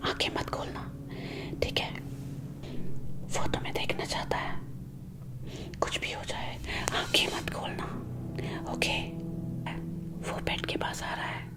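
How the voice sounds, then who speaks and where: A woman whispering as a protective, centuries-old female vampire girlfriend in an ASMR roleplay, hushed and intimate, close to a binaural mic. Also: takes it slowly, pauses often, lightly acted. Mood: urgent, protective.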